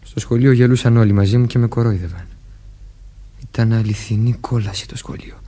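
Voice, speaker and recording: An actor playing a nervous, childlike young man in a radio mystery play, hesitant and plaintive, with a husky, breathy voice. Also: mid-range voice, steady tone, warm tone, lightly acted. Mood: wounded, wistful. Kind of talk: Greek radio theatre acting.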